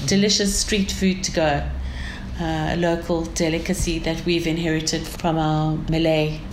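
A woman's voice: low voice, flat delivery, measured pace. A woman from South Africa with a South African accent, describing her city as an interview guest in an enthusiastic, storytelling way. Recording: echoey room with faint ambient noise.

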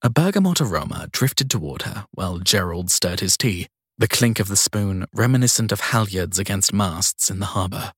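A man reads fiction as an audiobook narrator. His voice is low and slightly husky, with measured, descriptive delivery in a dry, close recording. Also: animated, no room sound.

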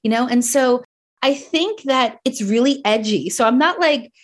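Woman, New Jersey accent, 30s, earnest, expressive, fairly high voice, podcast guest. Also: normal speed. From New Jersey, United States. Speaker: guest, coach. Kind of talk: podcast conversation.

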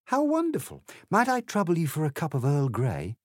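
A prim, polite Victorian girl, as a male reader puts her on. The voice sits raised and bright, with precise, careful manners. It sounds close and dry. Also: fairly high pitch, wildly swooping pitch, moderate pace, no room sound, pitched above the performer's own voice.

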